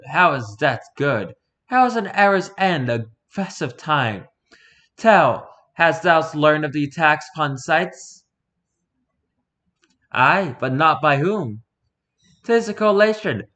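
Theatrical stage lords in old-style speech, a man doing all the voices, high-pitched. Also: sharp tone, heavily acted. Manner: mock-Shakespearean, theatrical. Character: archaic-speaking nobles in a stage play.

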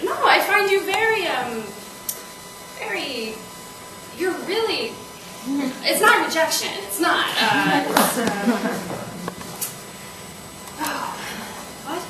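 A woman performing a comedic stage monologue as an anxious, volatile first date, halting and stammering. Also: very high-pitched, sing-song, exaggerated voice. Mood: flustered. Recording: noisy, echoey stage, distant mic.

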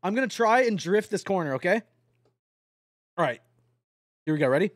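A man's voice: high voice, lively intonation, normal speed, no room sound, studio quality. A young man streams a truck driving game and talks to his chat casually. He swears often and breaks into yelps and laughs. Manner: addresses chat directly.

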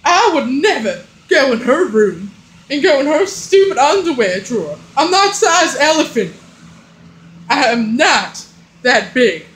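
A woman's voice: sits high, sing-song, a little slow, a bit bright, reverberant, exaggerated voice, poor audio. A woman acting as a defensive, scornful housemate who makes emphatic, indignant denials in a comic argument skit.

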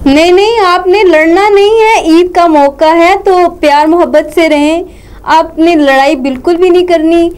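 A young female TV host with a high voice chats with puppets on a children's holiday show. She speaks in a studio, in a conversational presenting style. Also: moderate pace, a little mellow. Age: young adult.

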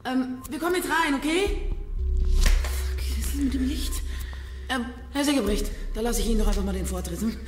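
An actress in a radio crime drama playing an uneasy young informant, casual and hesitant. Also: female voice, high voice, normal speed, pauses often, echoey room, in a large hall, music in the background, natural voice. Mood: apologetic, uneasy. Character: uneasy young female informant, reluctant betrayer.

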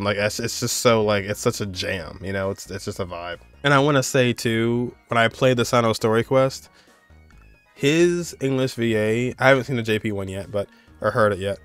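A man's voice: on the low side, fairly bright tone, clean tone. A young man giving casual, rambling reaction commentary as the host of a gaming video. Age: young adult. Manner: rambling, conversational. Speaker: host reacting to a game trailer.

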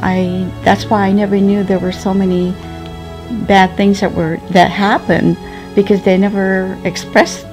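A woman in her seventies whose first language is Japanese, recalling the past slowly and hesitantly, with many ums and restarts. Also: medium pitch, level tone, music in the background. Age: 70s. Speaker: interviewee. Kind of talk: slow reflective recollection. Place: sunlit living room at home.

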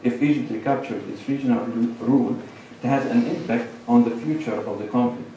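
A middle-aged man with a Lebanese accent giving a measured academic presentation at a lectern, pausing between listed points. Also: medium pitch, warm tone, slight background noise. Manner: measured, lists points with pauses. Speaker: conference speaker. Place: large lecture hall. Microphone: lectern microphone, far camera. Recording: echoey hall recorded from far off.

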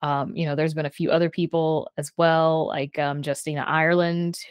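A woman with a fairly low voice chats casually as a guest on a livestream. She is animated and informal, dropping in conversational fillers often. The sound is close and dry, from a video call. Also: moderate pace, smooth, dry recording. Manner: animated, informal, peppered with "like" and "you know". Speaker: guest, writer and podcast creator. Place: small book-lined room indoors, on a video call.

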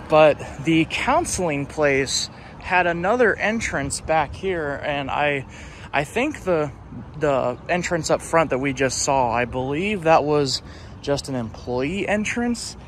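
A man's voice: fairly high pitch, expressive, a little slow, fairly bright tone. Man vlogging casually outdoors over traffic noise. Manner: dry, self-deprecating sarcasm. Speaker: urban exploration vlogger. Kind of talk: casual improvised walking-tour commentary. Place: outdoors, walking around a building exterior. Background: passing cars, outdoor urban ambience.

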